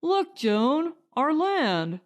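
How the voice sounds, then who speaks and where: A woman's voice: high-pitched, very animated, extremely slow, pitched above the performer's own voice, full character voice. A woman voicing an eager young husband, a hopeful settler in a storybook, speaking emphatically. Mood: excited.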